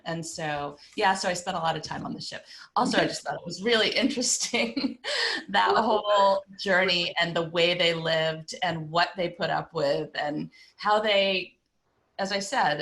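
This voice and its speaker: A woman's voice: lowish voice, a bit bright. A woman from England, an author, talking fluently and expansively in a panel conversation. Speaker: author guest.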